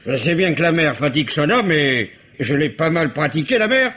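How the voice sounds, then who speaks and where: A sly old village rogue boasts and drops insinuations. The voice is husky and breathy. He talks like an old man who enjoys his own secrets. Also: fairly high pitch, sing-song, normal speed, pushed and bright, exaggerated voice. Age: older. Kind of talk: 1950s radio drama acting.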